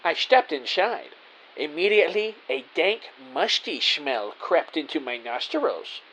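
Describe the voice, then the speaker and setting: A man's voice: fairly high pitch, big pitch swings, a little slow, background noise. A man playing an earnest, naive detective who narrates his own story in a scripted audio drama, with a theatrical delivery. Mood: earnest narrating.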